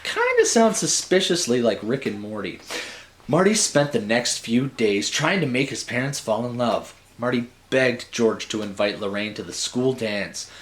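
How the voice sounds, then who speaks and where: A man's voice: mid-range voice, big pitch swings, moderate pace, fairly bright tone. A man narrating a story like a storybook, theatrical and dramatic, with wry asides.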